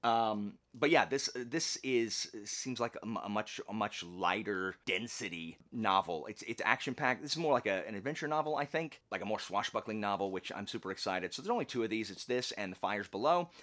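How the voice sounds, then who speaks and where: A man's voice: on the low side, animated, a little fast, hardly any pauses, a bit bright. A man hosting a book channel, chatting casually in a folksy, jokey way and often interrupting himself. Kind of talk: casual vlog chat.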